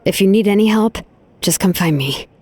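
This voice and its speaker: A woman playing a calm, dependable young female ally in a video game, gentle and considerate. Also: mid-range voice, normal speed, no echo, close to an everyday voice. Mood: calm, caring.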